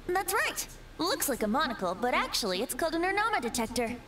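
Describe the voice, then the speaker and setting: A woman's voice: high-pitched, very animated, fast, fairly bright tone, a little hiss or hum. A woman voicing a playful, teasing young male bard in an anime game, boyish and theatrical. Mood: teasing, explaining.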